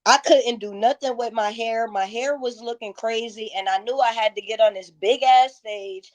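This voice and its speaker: A woman's voice: a little high, steady tone, normal speed, a little mellow. A woman in her thirties telling stories candidly in a casual interview, with slangy, profane, emphatic phrasing. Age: 30s. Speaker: interview guest, music performer.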